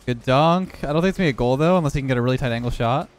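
A young man who has lost some of his voice, hoarse, giving relaxed running commentary over his own gameplay. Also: mid-range voice, racing, faint music behind. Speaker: gaming YouTuber.

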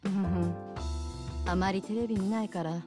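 An actress playing a kind, soft-spoken bar owner with a polite, considerate manner in a video game cutscene. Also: female voice, mid-range voice, fairly even intonation, moderate pace, music in the background, close to an everyday voice. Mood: gentle, apologetic. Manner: polite, considerate, gently casual.

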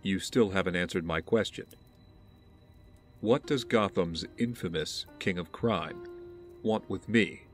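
A brooding young heir, acted by a man, talks in a very deep voice, measured and wary. His delivery is terse and dry, in an audio drama with faint music behind him.

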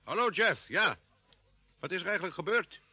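An actor playing a concerned, steady doctor on a space expedition, urgent and questioning, in a Dutch radio drama. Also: male voice, high voice, moderate pace, slightly rough, exaggerated voice. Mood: concerned, brisk.

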